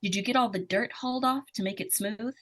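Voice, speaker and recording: A woman's voice: medium pitch, fairly quick, fairly bright tone, close to an everyday voice. A woman acting an inquisitive, innocent-seeming young girl in 1940s radio suspense style, with a hesitant, artless, questioning manner. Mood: wary, innocent.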